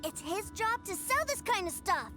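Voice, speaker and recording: Little kid boy in a game, really high voice, earnest n proud, bouncy intonation. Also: sing-song, moderate pace. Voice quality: high childlike voice. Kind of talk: video game voice acting. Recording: game music behind.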